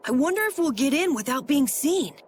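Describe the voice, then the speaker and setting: A woman voicing an eager, earnest young boy adventurer in a video game, with an excitable manner. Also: high-pitched, brisk, slight background noise. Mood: curious. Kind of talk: English video game voice acting.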